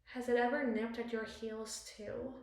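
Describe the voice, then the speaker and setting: Girl doing an evil queen asmr thing, possessive n scheming, fancy dramatic wording, highish voice, super echoey bathroom. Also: flat delivery, measured pace, darkish tone, close to an everyday voice. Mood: brooding, pointed. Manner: ornate, eloquent, dramatic phrasing. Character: possessive, cunning evil queen, doting yet menacing spouse. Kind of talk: ASMR roleplay, theatrical monologue. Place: small bathroom, indoors.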